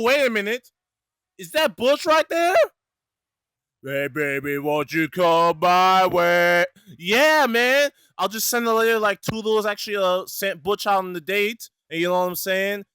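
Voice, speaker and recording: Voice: mid-range voice, a bit bright, clear, resonant tone, no room sound, natural voice. A performer voicing a crude, cocky tomcat suitor in an improvised cartoon fandub, swearing in slangy, swaggering talk.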